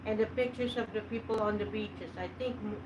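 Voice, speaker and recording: A woman's voice: mid-range voice, steady tone, brisk. A middle-aged woman co-hosting a casual livestream, reading out viewer comments. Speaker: livestream co-host. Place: small curtained room indoors. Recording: distant mic, clear background noise.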